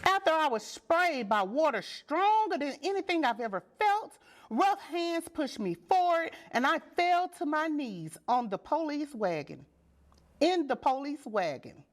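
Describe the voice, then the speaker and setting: A woman reading a children's storybook aloud with deliberate, dramatic and expressive phrasing. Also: high-pitched. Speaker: storybook reader.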